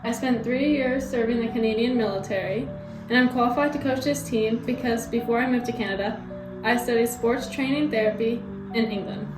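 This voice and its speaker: A young woman playing an earnest male 1920s hockey coach, reading scripted answers in a solemn, deliberate way. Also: a little high, fairly even intonation, close to an everyday voice. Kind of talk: scripted mock interview answers. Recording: echoey room, music behind.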